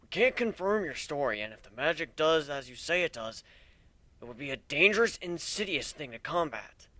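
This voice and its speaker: A man's voice: on the high side, normal speed, no echo, voice pushed up. A man voicing a stern, formal male pony officer in a dramatic story reading. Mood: wary, formal. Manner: flat, formal.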